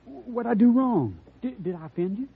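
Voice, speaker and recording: An actor playing a bashful, flustered young cowboy who stammers in a folksy Western drawl in an old radio drama. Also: male voice, very high voice, lively intonation, moderate pace, creaky, slightly rough, full character voice. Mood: earnest, flustered. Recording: thin narrowband vintage radio recording.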